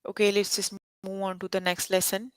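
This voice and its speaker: A woman's voice: medium pitch, completely flat delivery, a little fast, clear, resonant tone, no room sound. A young adult woman teaching a live online math lesson over a computer mic, stopping often to check that students follow. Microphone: computer mic on an online call.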